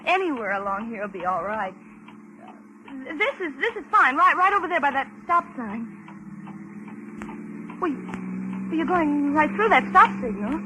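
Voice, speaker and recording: An actress playing a frightened young woman held captive, nervous and halting, in a 1940s radio drama. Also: high-pitched, big pitch swings, pushed and bright, heavily acted. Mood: nervous, polite. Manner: nervous, stammering, pleading. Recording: noisy old radio recording.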